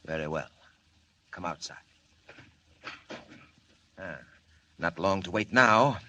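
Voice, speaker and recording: An actor playing a menacing male criminal mastermind in 1940s radio serial style, speaking slowly and formally with cool threat. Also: low voice, animated, bright, forceful tone, a little hiss or hum, heavily acted. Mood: cold, ominous. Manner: slow, deliberate, formal, coolly threatening.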